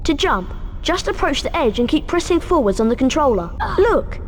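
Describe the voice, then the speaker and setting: A man's voice: very high-pitched, big pitch swings, moderate pace, slight background noise. A man voicing a plucky, loyal schoolboy companion in a video game, eager and encouraging. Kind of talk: video game cutscene acting.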